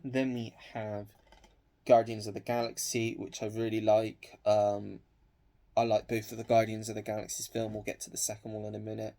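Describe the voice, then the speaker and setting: A man's voice: fairly low pitch, level tone, moderate pace, gravelly, warm tone. A young man with a cold, sniffling as he chats hesitantly with lots of ums about his film collection. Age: young adult. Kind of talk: casual collection showcase.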